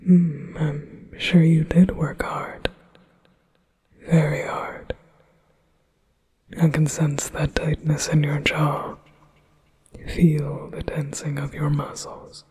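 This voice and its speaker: A possessive male demon murmurs close to the ear, voiced by a man in an ASMR roleplay. His whisper is heavily breathy and slow, with little rise or fall in pitch. He sounds silky, flattering and persuasive. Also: exaggerated voice. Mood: coaxing.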